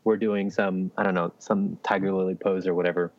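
A man's voice: low-pitched, flat delivery, brisk, no echo, lo-fi sound. A man co-hosting a podcast, chatting casually and telling anecdotes. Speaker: podcast co-host.

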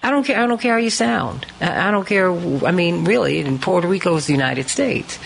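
A woman's voice: on the low side, expressive, brisk, creaky, a little hiss or hum. A woman hosting a spontaneous panel discussion, speaking emphatically and repeating phrases for emphasis. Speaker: host.

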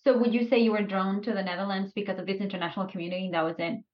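A woman's voice: mid-range voice, fairly even intonation, very fast, muffled recording. A woman hosting an interview, asking long, winding questions. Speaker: host.

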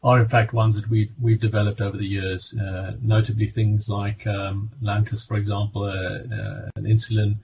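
A man with a South African accent answers from his countryside home over a video call. His voice sits low and he moves carefully, often hesitating and restarting. The audio is dull and lo-fi, with a faint hum in the room. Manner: measured, many hesitations and restarts. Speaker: pharma medical director guest. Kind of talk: remote interview answers.

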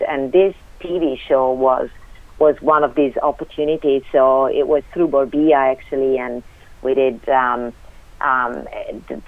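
A woman's voice: extremely low pitch, dry recording. A woman from Italy with an Italian accent, a guest on a radio interview, speaking hesitantly with many fillers and restarts. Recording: tinny phone line.

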